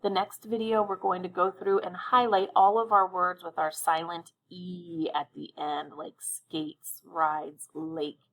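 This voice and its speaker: A woman teaching young children to read, speaking directly and encouragingly to them with deliberate, instructional phrasing and check-in questions. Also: fairly low pitch, little pitch movement, a bit bright, rough recording. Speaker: elementary reading teacher.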